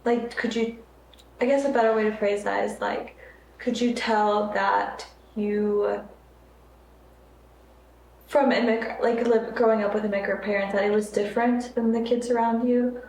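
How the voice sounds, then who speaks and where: Young woman, podcast host, gravelly voice, casual rambling questions, roomy echo. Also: on the high side, measured pace. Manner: relaxed, loose questions with many likes and rephrasings. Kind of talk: casual interview conversation. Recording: echoey room, faint noise.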